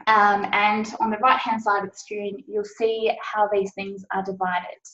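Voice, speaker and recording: A woman's voice: medium pitch, flat delivery, measured pace, sharp tone, roomy sound, lo-fi sound. A woman with an Australian accent, a policy officer giving a webinar presentation and explaining things with frequent "um"s.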